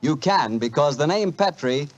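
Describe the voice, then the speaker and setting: A man's voice: on the high side, expressive, normal speed, dull audio. An American man announcing and hosting a 1940s radio show in a salesmanlike manner.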